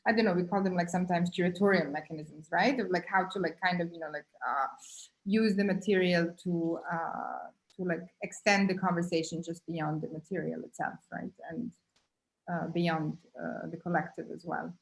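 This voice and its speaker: Guest artist, woman, slightly low voice; halting, filler-heavy casual storytelling. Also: fairly even intonation, low-quality recording. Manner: halting, many "you know, like" fillers.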